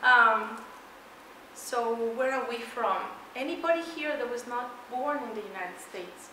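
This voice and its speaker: A lively woman from Mexico, middle-aged, addresses a roomful of students from the front of a big lecture hall, her bright, forceful voice leaping up on key words. She is caught from across the room, so it rings with a strong echo. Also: a little high, unhurried, slight background noise. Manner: energetic, emphatic, laughs often, walks while talking. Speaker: keynote speaker. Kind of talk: motivational presentation to students. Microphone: far room pickup. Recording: echoey hall from far off.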